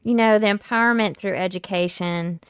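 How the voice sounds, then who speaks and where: A woman's voice: mid-range voice, normal speed, clear, resonant tone, no echo. A woman hosting a podcast interview, conversational and leaning on "you know". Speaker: podcast host. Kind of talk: casual interview talk.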